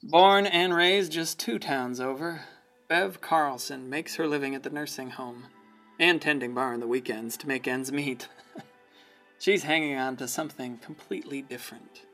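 A man's voice: mid-range voice, normal speed, bright, forceful tone. A man playing a wry, folksy small-town storyteller, narrating a radio play. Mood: wry, sympathetic. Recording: music behind the voice.

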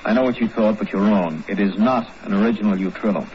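A muffled, slightly hissy 1950s radio recording features a man acting a crooked innkeeper. He talks quickly in clipped, blustery bursts, like a double-dealer protesting his innocence. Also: fairly low pitch, lightly acted. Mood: sly, haggling.

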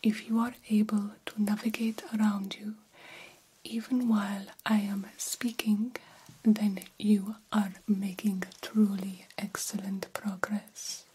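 A woman whispering an ASMR roleplay as a vampire doctor, breathy, deliberate and gently instructive. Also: medium pitch, little pitch movement, rough recording. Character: female vampire doctor tending a young patient. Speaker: ASMR performer.

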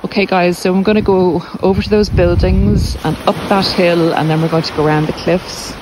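An Irish woman speaks in a fairly low voice, explaining things in a measured, halting way. It is a casual walk-and-talk, recorded outdoors with some noise around her. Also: fairly even intonation. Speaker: podcast host and narcissism recovery coach. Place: outdoors on a coastal cliff walk. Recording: noisy outdoor handheld recording.

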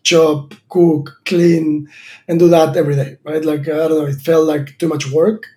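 A man's voice: fairly high pitch, level tone, measured pace, dark tone. A man in his thirties from Argentina, a startup founder answering interview questions thoughtfully, with many fillers and restarts. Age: 30s.

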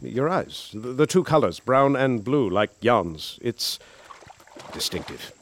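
A man's voice: mid-range voice, big pitch swings, slow, full character voice. An actor playing a polite young war veteran who narrates his journal and stammers hesitantly, in a radio drama. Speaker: narrator and lead character.

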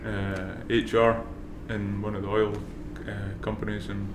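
A man's voice: on the low side, flat delivery, a little slow. A middle-aged Scottish man, a brewery co-founder guest on a casual podcast, speaking in a laid-back way with dry humor and many fillers. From Scotland. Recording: roomy with noise behind.

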